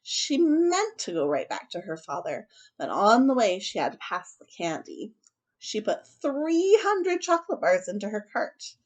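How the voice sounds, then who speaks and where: A woman hosting a children's story time, chatting casually and reading aloud in an expressive, emphatic way. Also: mid-range voice, unhurried. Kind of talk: casual chat and animated storybook read-aloud.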